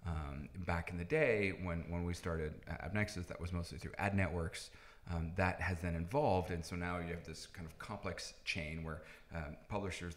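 A man in his thirties from the Netherlands, a native Dutch speaker, explaining things conversationally as a podcast guest. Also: low-pitched. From Netherlands, moved to the US at nine. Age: 30s. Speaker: podcast guest, tech founder. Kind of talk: conversational interview answers, explaining.

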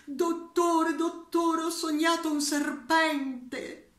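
A woman voicing an anxious patient who keeps pleading with a doctor in a high, insistent voice. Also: steady tone, very slow, fairly bright tone, full character voice. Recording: echoey room.

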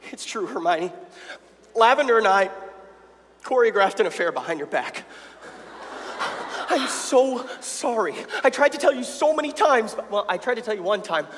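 A man playing an indignant, emotional teenage schoolboy in a comedic stage reading, with a loud, melodramatic delivery. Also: high voice, lively intonation, normal speed, long pauses, slight background noise. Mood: pleading, frantic. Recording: reverberant stage hall.

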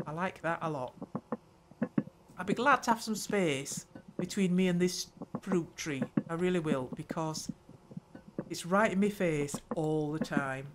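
An older woman hosting a gameplay video, muttering her thoughts aloud in an unhurried way. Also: low voice, noisy background. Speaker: gaming channel host. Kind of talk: let's play commentary.

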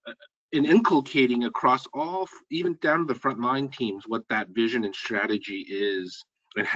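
A man's voice: medium pitch, a bit bright. A man on a conference call who asks a long question, hesitating and restarting his phrases. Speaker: caller asking a question. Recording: dull lo-fi call audio.

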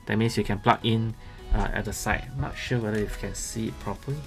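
A man gives casual, halting unboxing commentary as a gadget reviewer. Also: fairly low pitch, fairly even intonation. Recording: music playing behind the voice.

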